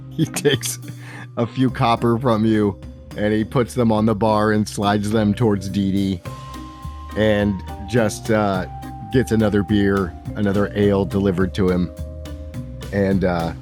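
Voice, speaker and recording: A man with a low voice works as game master, narrating a tabletop fantasy game. He sets each scene deliberately, in measured phrases with pauses, while faint music plays behind him. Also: steady tone, a little mellow.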